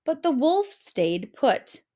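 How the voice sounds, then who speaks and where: Animated, slow-paced woman reading a storybook. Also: high voice. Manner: dramatic, expressive. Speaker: storybook reader. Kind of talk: expressive scripted narration of a children's story.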